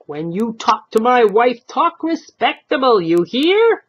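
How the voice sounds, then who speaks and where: Woman doing an excitable old husband, pitch leaping high. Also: slow pace, heavily acted. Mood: outraged. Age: older. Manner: emphatic, indignant, inverted immigrant phrasing.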